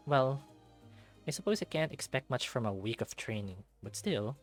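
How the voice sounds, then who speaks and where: A man reading a visual novel aloud in an expressive way, dropping in casual asides of his own. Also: medium pitch, moderate pace, soft background music.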